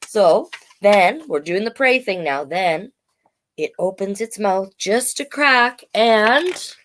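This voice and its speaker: Expressive woman reading aloud at storytime, lively pitch. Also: slow, slight background noise. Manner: expressive, playful, explains to young listeners. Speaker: host reading a children's picture book. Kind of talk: storytime read-aloud with chatty asides.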